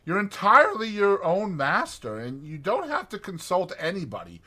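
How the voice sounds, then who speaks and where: Guy doing a homely little mole from a storybook, earnest and polite, voice up high and bright. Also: wildly swooping pitch, normal speed, full character voice. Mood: contented. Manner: earnest, appreciative. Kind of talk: dramatized storybook reading.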